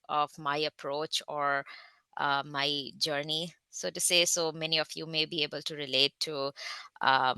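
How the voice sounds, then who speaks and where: A woman in her thirties from India with an Indian accent, giving an online guest talk with slides and often pausing on fillers. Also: low voice, bright, forceful tone, smooth, no room sound. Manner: careful, with frequent hesitant fillers. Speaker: guest speaker, research scientist.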